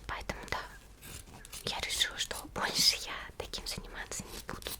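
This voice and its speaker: A woman voicing a gentle young monster schoolgirl in a soft, breathy, slow ASMR roleplay whisper, close to the microphone. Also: close to an everyday voice. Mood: caring, musing. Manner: slow, soothing, hesitant musing with short pauses.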